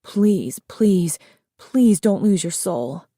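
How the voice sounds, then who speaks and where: A woman voicing a gentle, anxious young male dragon in an audiobook, earnest and pleading. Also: fairly low pitch, normal speed, no echo, clean studio sound, natural voice. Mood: tearful, pleading.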